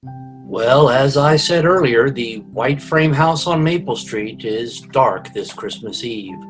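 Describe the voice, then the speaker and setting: A man giving wry, scripted narration for a radio comedy, with deadpan asides. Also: mid-range voice, slow, rough recording. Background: music.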